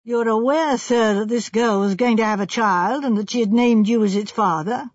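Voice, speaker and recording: A woman voicing a stern male police officer in an audiobook, speaking in a measured, official and unemotional way. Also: a little high, no room sound, muffled recording, close to an everyday voice.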